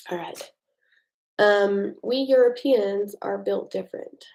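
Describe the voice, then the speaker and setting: An American woman in a relaxed late-night livestream, talking loosely with her viewers. She reads their comments aloud and pokes fun at herself in an easygoing, chatty way. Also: mid-range voice, rough recording. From United States. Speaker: livestream host. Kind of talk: casual live chat with viewers. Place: home at night.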